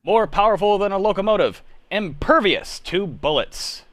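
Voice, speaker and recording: A man's voice: sits high, lively intonation, moderate pace, fairly bright tone, dry recording, studio quality, pitched above the performer's own voice. A man playing a theatrical, emphatic announcer in the style of a 1940s radio serial. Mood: grand, excited. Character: dramatic old-time radio announcer, grand and excited. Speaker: announcer in an amateur live script reading.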